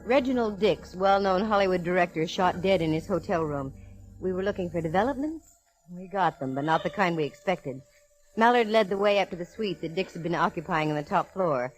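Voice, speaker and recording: A woman's voice: fairly low pitch, expressive, brisk, faint music behind, lightly acted, rough recording. An American actress playing a sassy, hard-boiled female private eye in 1940s radio drama, with wry, wisecracking delivery. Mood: dry narration. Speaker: lead actress and narrator. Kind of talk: 1940s radio detective acting with wry first-person narration.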